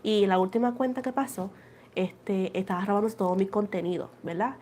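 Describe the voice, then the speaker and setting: A woman's voice: medium pitch, fast talker, a little mellow. Chatty Puerto Rican woman livestreaming. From Puerto Rico. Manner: switches between Spanish and English, laughs often. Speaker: tarot livestream host. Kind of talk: casual livestream chat reading viewer comments.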